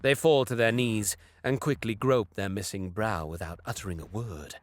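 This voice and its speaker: Man playing a low-voiced, haughty alien raider. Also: dry recording, close to an everyday voice. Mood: predatory, intent. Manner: menacing, disdainful, deliberate. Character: cruel, haughty alien raider warrior, narrating his hunt. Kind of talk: scripted dramatic first-person narration. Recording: music behind the voice.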